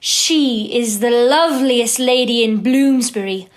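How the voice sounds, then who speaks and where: A woman playing the narrator of a children's storybook tale in an audio drama, with a measured storytelling manner. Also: a little high.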